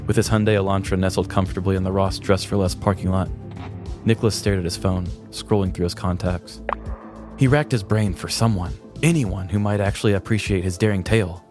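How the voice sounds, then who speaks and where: A man with a lowish voice tells a scripted story with mock drama. Soft music sits behind his podcast narration. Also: fairly even intonation, a little fast. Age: 30s. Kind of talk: scripted true-crime comedy narration. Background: music bed.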